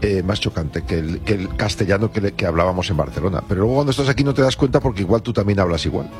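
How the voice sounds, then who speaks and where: A man from northern Spain, chatting casually in a studio with a quick, self-deprecating manner. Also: low voice, soft background music. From Burgos.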